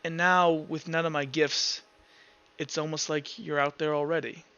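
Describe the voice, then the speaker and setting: A man voicing a cold, brooding, world-weary villain in a tabletop game, with halting, deliberate pauses. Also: fairly high pitch, flat delivery, pushed and bright, clear, resonant tone, dull audio. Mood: cold, resigned. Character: brooding male villain of a dream world, coldly menacing, world-weary.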